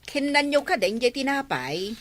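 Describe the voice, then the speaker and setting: A woman's voice: sits high, big pitch swings, moderate pace, a little hiss or hum. A woman playing an exasperated village councilwoman and shopkeeper, protesting in an Ilocano accent in a comedy drama. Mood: chatty. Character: exasperated village councilwoman who keeps a small store.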